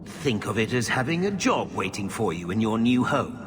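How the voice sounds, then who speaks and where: Shady, low-voiced middle-aged uncle. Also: male voice, sing-song, normal speed, a crowd in the background. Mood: grudging persuasion. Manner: hedging, grudging. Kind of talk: video game voice acting.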